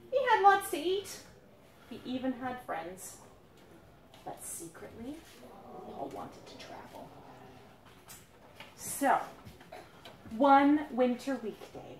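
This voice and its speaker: A woman reading her own picture book aloud to a young child, playfully dramatic, drawing out words and pausing to ask questions. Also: high-pitched. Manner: dramatic build-ups with drawn-out words. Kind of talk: animated storytelling read aloud to a young child. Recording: roomy, noisy, distant handheld recording.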